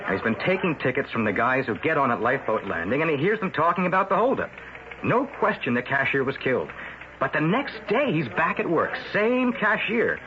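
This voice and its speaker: An actor playing an eager, stubborn young newspaper reporter in an old-time radio drama, insistent and full of questions. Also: male voice, fairly high pitch, very animated, a little fast. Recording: noisy, muffled old radio broadcast.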